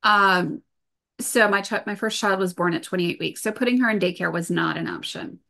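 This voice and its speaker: A woman's voice: fairly low pitch, normal speed, creaky, bright, forceful tone. Middle-aged woman explaining things matter-of-factly. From Venezuela and Brazil. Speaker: podcast guest, entrepreneur. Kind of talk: conversational interview answers, personal storytelling.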